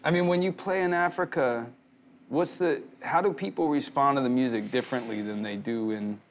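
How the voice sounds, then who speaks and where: A man's voice: medium pitch, moderate pace, slight background noise. A man who hosts a casual dinner conversation as a fellow musician, easygoing and agreeable. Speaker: host, touring musician. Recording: music behind the voice.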